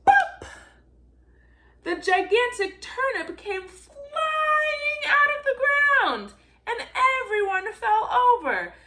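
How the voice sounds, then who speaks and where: A woman's voice: extremely high pitch, big pitch swings, sharp tone. A woman reading a children's story aloud in a theatrical storytelling style, stretching out key words for emphasis. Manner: theatrical, drawn-out emphasis on repeated action words. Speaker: volunteer storybook reader.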